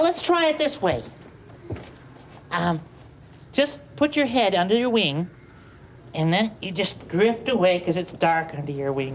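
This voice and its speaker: A big-hearted, childlike bird hosting a bedtime puppet show. The talk is gentle, eager and rambling, with hesitant little stops. Noise sits clearly in the recording. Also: male voice, high-pitched. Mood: gentle, musing. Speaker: host giving bedtime tips. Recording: clearly noisy home video soundtrack.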